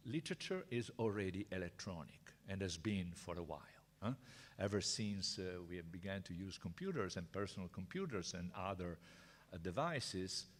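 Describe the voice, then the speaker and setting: Older Italian-accented male lecturer, deep voice, halting and chatty, echoing lecture hall. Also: fairly bright tone. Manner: hesitant, many uhs and restarts, chatty asides. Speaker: university lecturer. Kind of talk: seminar lecture.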